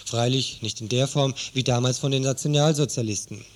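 A man's voice: mid-range voice, brisk. A man narrating a scripted radio news feature in an earnest, critical tone.

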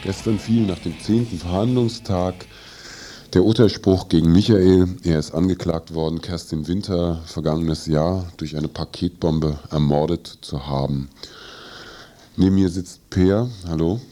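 Male radio host, very deep relaxed voice, hesitant interviewer who reworks his questions as he goes. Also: a little slow. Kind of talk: live radio interview, halting spoken questions.

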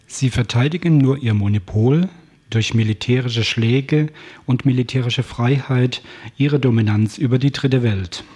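A man's voice: medium pitch, measured pace, a little mellow, slightly rough. A man reading a scripted political commentary on the radio in formal, accusatory language.

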